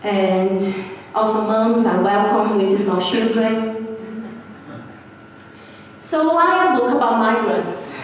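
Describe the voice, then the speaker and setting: A woman's voice: on the high side, slight background noise. A woman in her thirties from Brazil, a native Portuguese speaker with a Brazilian accent, giving a live talk with fillers and frequent little laughs. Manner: hesitant, giggly, many fillers. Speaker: guest speaker, journalist. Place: live event venue indoors. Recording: echoey hall, distant mic.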